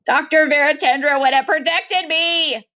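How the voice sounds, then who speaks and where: A woman's voice: high-pitched, fairly even intonation, normal speed, sharp tone, pitched above the performer's own voice. Woman voicing a whiny, panicky male insect alien. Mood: distressed, accusing. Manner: wailing, sarcastic complaining.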